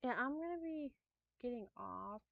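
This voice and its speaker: A woman's voice: a little high, lively intonation, normal speed, gravelly, no echo. Woman streamer on thin, phone-like audio. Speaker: livestreamer. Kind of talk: casual livestream chat.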